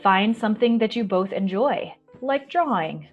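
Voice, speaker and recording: A woman hosting a children's storytime, reading and explaining in a soft-spoken, reassuring, measured way. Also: mid-range voice, lively intonation, narrow, tinny audio, soft background music. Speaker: children's library storytime host. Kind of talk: gentle picture-book reading and explaining to children.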